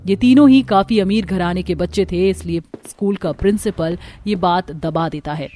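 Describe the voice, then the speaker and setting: A woman narrator reading a scripted animated horror story. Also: lowish voice, normal speed, a little hiss or hum.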